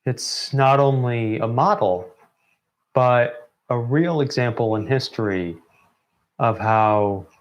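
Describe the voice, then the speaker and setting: A man delivering a prepared closing address in deliberate phrases broken by pauses. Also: medium pitch, dull audio. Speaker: member of a student theatre group giving closing remarks.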